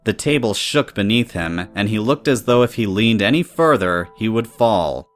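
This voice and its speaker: A man's voice: fairly low pitch, animated, normal speed, soft background music. A man reading scripted story narration in the third person.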